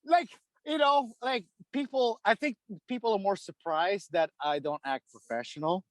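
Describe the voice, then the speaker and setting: Guest, male, from the Philippines, slightly high voice, loose rambling talker, dry close mic. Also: normal speed, no echo. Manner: rambling, many fillers like "dude" and "you know". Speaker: guest, hockey equipment repair shop owner. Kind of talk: casual podcast chat.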